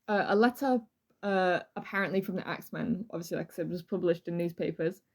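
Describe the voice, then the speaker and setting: A woman's voice: lowish voice, fast. A woman hosting a true crime podcast, telling the story in an informal, jokey, conversational way.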